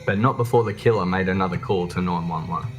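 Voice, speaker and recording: Male true crime narrator, low register, scripted documentary read, faint music underneath. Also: quick pace.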